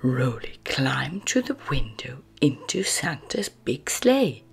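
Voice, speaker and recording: A woman reading a children's storybook aloud as narrator, expressive, moving between hushed phrases and emphatic words. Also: a bass voice, takes it slowly, vocal fry, husky. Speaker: storybook narrator and channel host.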